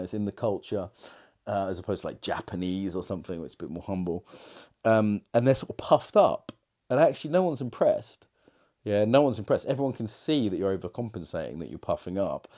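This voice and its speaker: Deep, mellow-voiced man. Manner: rambling, reflective, frequent fillers and restarts. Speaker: confidence coach. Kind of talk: casual monologue to camera.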